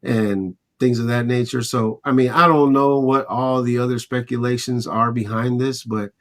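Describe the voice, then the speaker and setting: A man's voice: medium pitch, fairly even intonation, moderate pace, a bit bright. Casual male sports podcast co-host.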